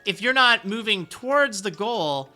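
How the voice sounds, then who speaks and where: A man with a high, youthful-sounding voice streaming a game, reading viewer messages and answering with rambling encouragement. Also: expressive, a little slow, bright, forceful tone, soft background music. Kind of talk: casual live-stream chat.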